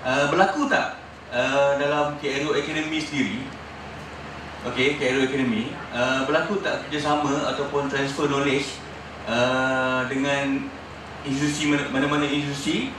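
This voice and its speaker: A man with a Malaysian accent asking a question from the floor, halting and searching for words. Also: mid-range voice, level tone. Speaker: audience member asking a question. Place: large indoor conference venue. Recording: very echoey hall, distant mic, clear noise.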